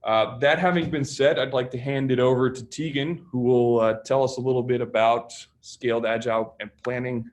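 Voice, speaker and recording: A man hosts a software webinar in a plain, mid-range voice. He explains terms in a relaxed way, with frequent small fillers, over a simple web-call mic. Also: mid-range voice, moderate pace, hardly any pauses. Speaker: solutions engineer and webinar host. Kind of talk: webinar presentation.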